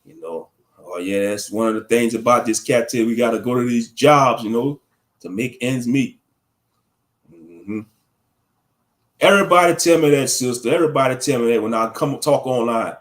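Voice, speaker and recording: A man's voice: medium pitch, normal speed. Male livestream commentator; loose, slangy, preachy ramble while reading the chat. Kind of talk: casual livestream talk answering chat.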